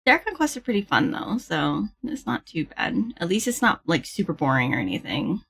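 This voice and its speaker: A woman livestreamer chatting casually with viewers in a bubbly, giggly manner, with a slightly husky voice. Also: on the low side, big pitch swings, a little fast, fairly bright tone. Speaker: livestreamer hosting a gaming stream. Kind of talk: casual stream chat with viewers.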